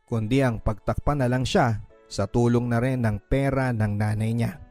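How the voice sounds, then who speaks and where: Casting: Filipino man, average-pitched, emotive radio host narrating heartfelt letters and advice over a music bed. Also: steady tone, normal speed, a little mellow, no room sound.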